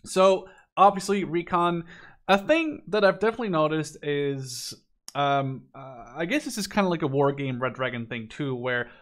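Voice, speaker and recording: Man in his twenties, somewhat high voice, conversational game commentary, opinionated, rambling. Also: animated, moderate pace, a bit bright. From Netherlands. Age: 20s. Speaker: gaming video commentator.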